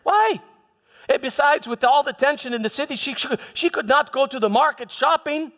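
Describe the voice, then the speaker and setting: A man playing a troubled Roman governor who recounts his past in a halting dramatic monologue, repeating words and pausing for emphasis. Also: very high voice, lively intonation, pushed and bright, full character voice. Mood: exasperated. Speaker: church dramatist performing a monologue. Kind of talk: first-person dramatic monologue in church. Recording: echoey hall with long reverb.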